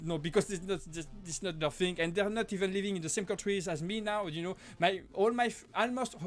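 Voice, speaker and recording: A man with a French accent hosts a casual live stream, his voice sitting high for a man. He talks in halting bursts, restarting and correcting himself as he answers viewers. Faint music plays behind him. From Switzerland. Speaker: live-stream host, prop maker.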